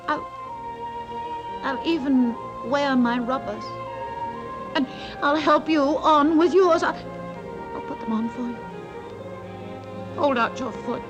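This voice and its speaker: An actress playing a timid, prim middle-aged spinster schoolteacher who stammers and frets in a stage monologue. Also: female voice, high voice, takes it slowly, pauses often, lo-fi sound, over music. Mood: pleading, panicked. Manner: fluttery, stammering, self-pitying.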